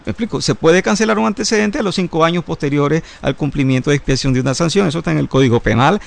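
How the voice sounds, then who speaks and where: A man's voice: a little high, fast, vocal fry. A man who is a criminal lawyer, explaining legal points in a panel discussion with frequent hesitations and legal jargon.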